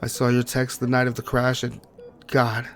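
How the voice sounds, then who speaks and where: A man acting as a grieving, guilt-ridden partner in an intimate audio roleplay, speaking tenderly and haltingly to a sweetheart. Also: medium pitch, monotone, a bit bright, natural voice. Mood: guilty, distraught. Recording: clearly noisy track with ambience.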